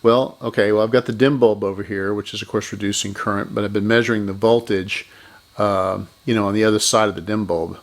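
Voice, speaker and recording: A man's voice: fairly low pitch, fairly even intonation, a little fast. A man giving unscripted hands-on repair commentary, thinking aloud methodically and correcting himself as he goes. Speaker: electronics repair host.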